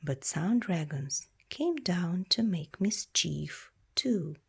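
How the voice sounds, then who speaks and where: A woman reading a children's storybook aloud in a measured, expressive storytelling way. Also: lowish voice, creaky, slightly rough. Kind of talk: read-aloud storybook narration.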